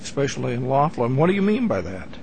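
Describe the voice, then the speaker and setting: Gravelly-voiced stammering male interviewer. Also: medium pitch, big pitch swings, fast, darkish tone, a little hiss or hum. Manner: hesitant, stammering questions. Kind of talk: interview questions.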